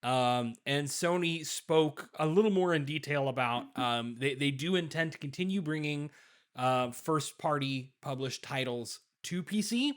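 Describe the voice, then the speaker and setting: A man's voice: fairly high pitch, a little slow. A man talking casually through gaming news as a panelist on a talk show.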